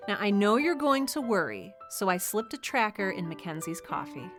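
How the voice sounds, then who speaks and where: A woman playing a gracious, gently teasing hostess. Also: medium pitch, sing-song, normal speed, over music, lightly acted. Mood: sweetly wry. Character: gracious, sly wife hosting a dinner party. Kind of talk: comedic audio drama acting.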